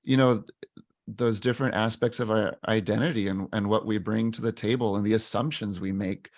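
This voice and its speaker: A man in his thirties, a teacher and podcast co-host, telling a personal story in an earnest, halting way with frequent restarts. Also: lowish voice, fairly even intonation, no room sound, studio quality. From United States. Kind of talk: reflective storytelling in casual chat.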